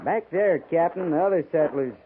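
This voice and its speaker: An actor playing a plainspoken cavalry sergeant in a 1950s radio western, reporting in terse, rustic, respectful speech. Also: male voice, fairly high pitch, normal speed, lightly acted. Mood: grave, puzzled. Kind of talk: 1950s radio drama acting. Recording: tinny 1950s radio recording, faint noise.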